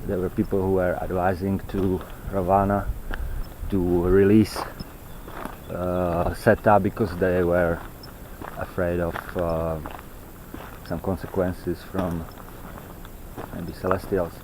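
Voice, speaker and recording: A man giving an unscripted, rambling monologue while walking outdoors, halting and fragmented as he searches for words. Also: sits low, flat delivery, background noise. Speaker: vlogger. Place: outdoors on a walk in nature.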